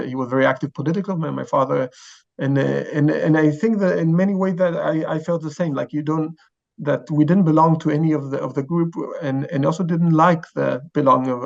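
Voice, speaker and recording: A man's voice: fairly high pitch, creaky, muffled recording. A man in his forties with an Israeli accent, explaining things as an interview guest, hesitant and often searching for words. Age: 40s. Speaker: chief rabbi, interview guest. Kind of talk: informal interview answers, explanatory.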